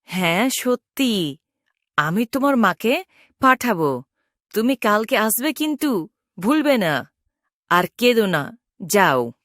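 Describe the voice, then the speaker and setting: Woman as cartoon ghost mother: firm, protective, menacing, mid-pitched, very dry recording. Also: sing-song, slow pace, pauses often, a bit bright, no echo. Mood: reassuring. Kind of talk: Bengali cartoon voice acting.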